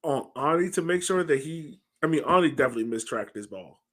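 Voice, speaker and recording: A man's voice: fairly high pitch, expressive, a little fast, fairly bright tone. A man in his thirties talking casually through sports analysis as a podcast co-host on a video call from a room at home.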